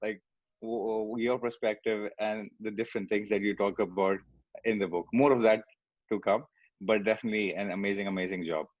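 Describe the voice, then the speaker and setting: A man's voice: on the low side, steady tone, fairly quick, dry recording. A man co-hosting a podcast, chatting in a friendly, hesitant way with many fillers. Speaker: podcast co-host. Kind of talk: casual podcast chat. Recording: dry, dull lo-fi sound.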